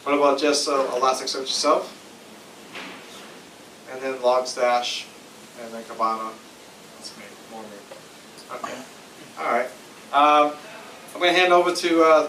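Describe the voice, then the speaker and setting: A man's voice: medium pitch, a little slow, long pauses, fairly bright tone. A man organizing and hosting a tech meetup, casual with fillers. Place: meetup room. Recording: echoey room, distant mic, faint noise.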